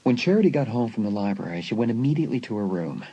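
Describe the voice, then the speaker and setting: Low-pitched man narrating a suspense story. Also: very animated, very fast, dark tone. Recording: dull lo-fi sound, clear background noise.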